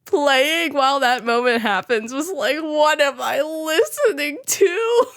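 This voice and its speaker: A woman's voice: sits high, expressive, takes it slowly, a bit bright. A woman interviewing a guest in a casual, rambling and giggly way, reacting openly to what she hears. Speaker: host, interviewer.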